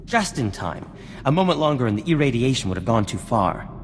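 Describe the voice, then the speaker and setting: An actor playing a vain, refined scientist-inventor in a video game cutscene, speaking in a formal, theatrical and florid way. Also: male voice, medium pitch, very animated, a little fast, slight background noise. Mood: preoccupied. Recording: long reverb, music underneath.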